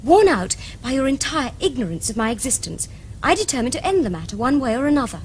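A woman's voice: high voice, very animated, fast talker, a little hiss or hum. An actress playing a prim, romantic young Victorian lady in a period comedy, with precise, formal Received Pronunciation. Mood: dreamy, matter-of-fact. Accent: English Received Pronunciation. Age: young adult. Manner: precise, formal, earnest diction. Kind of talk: period stage comedy acting for television.

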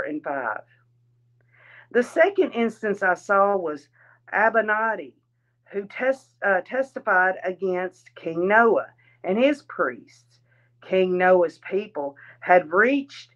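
A woman reading a written text aloud in a halting way, breaking phrases into short pieces. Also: mid-range voice. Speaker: reader of a written account. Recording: dull, lo-fi sound.

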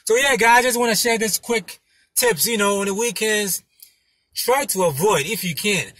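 A man in his thirties talking casually and emphatically to camera as a delivery driver giving tips, repeating his points, with a husky voice. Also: sits high, moderate pace, bright, forceful tone, dry recording. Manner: emphatic, repetitive, punctuated with "you know". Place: inside a parked car. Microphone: phone mounted in front of him.

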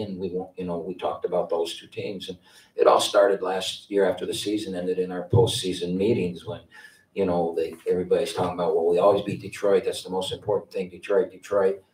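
A blunt older coach with a very low voice rambles through his answers, restarting and repeating words. He sits at a table mic some distance away in an echoey press room. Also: darkish tone. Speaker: head basketball coach. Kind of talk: post-game press conference answers. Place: press conference room with sponsor backdrop. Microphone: table mic, heard from a distance.

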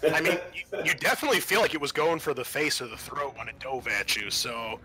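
A man's voice: mid-range voice, fairly quick, bright, forceful tone, a little hiss or hum, rough recording. A man acting as game master, patiently explaining tabletop roleplaying rules in a casual, conversational way. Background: music.

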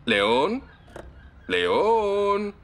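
A man's voice: high-pitched, huge pitch swings, very slow, fairly bright tone, faint music behind, full character voice. A man with a Latin American Spanish accent playing a friendly, dutiful fireman in a children's cartoon dub, helpful and reassuring. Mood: searching.

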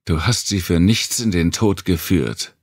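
Accusing inner voice , played by a male narrator: harsh, husky, gravelly, pushed up in pitch, reproachful, for post-apocalyptic audiobook. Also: a little slow, no room sound, clean studio sound, full character voice. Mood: bitter accusation. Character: accusing inner voice heard by a grief-stricken survivor.

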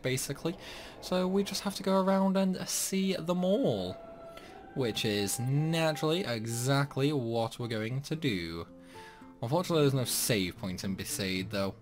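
Casting: young male gaming host, relaxed chatty commentary, faint game music underneath. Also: medium pitch. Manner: chatty, self-deprecating, exclaims at mistakes. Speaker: gameplay channel host.

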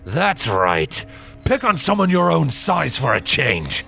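Man doing a gruff male creature captain. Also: medium pitch, wildly swooping pitch, takes it slowly, sharp tone, soft background music, voice pushed up, full character voice. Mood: defiant. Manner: barking, grunting.

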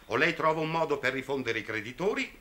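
An actor playing a stern middle-aged lawyer in a vintage television drama, speaking formally, insistently and articulately. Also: male voice, a little high, animated, fast talker, pushed and bright. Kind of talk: 1960s television drama acting. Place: indoors, office, off-screen microphone.

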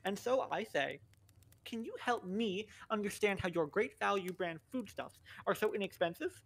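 A woman's voice: medium pitch, expressive, normal speed, gravelly, husky. A woman playing an earnest, opinionated friend who tells a story matter-of-factly in a comic radio play. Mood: earnest storytelling.

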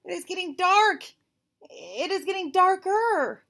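A woman voicing an impatient, melodramatic young male elephant in a storybook, whining and wailing with drawn-out words. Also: very high-pitched, big pitch swings, sharp tone, pitched above the performer's own voice, full character voice. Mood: despairing. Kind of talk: storybook read-aloud character.

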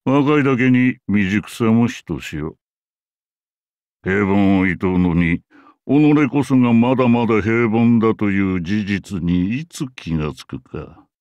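A man's voice: mid-range voice, a little mellow, no room sound. A man voicing a suave, sardonic older villainous mastermind in an anime game, urbane and theatrical. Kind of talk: anime game character acting.

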